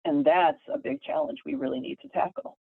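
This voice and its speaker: A woman's voice: high-pitched, sing-song, a little fast, vocal fry, husky, no room sound. A woman professor explaining ideas in an interview, in a thoughtful lecturing way that often repeats words as she builds a point. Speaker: guest, communication professor. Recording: tinny phone-like line, dry.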